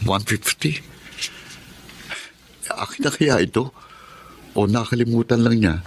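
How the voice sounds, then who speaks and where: An actor playing a humble, dutiful eldest brother who drives a tricycle, speaking earnestly and haltingly in a Tagalog radio drama. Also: male voice, medium pitch, close to an everyday voice. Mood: puzzled. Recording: clearly noisy drama track with ambience.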